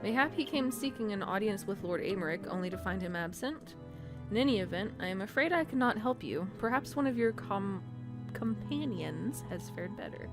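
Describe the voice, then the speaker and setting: A composed, dutiful lady knight, acted out by a woman in a fantasy game. Her speech is formal and measured, and music plays clearly behind her. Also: medium pitch, animated, close to an everyday voice.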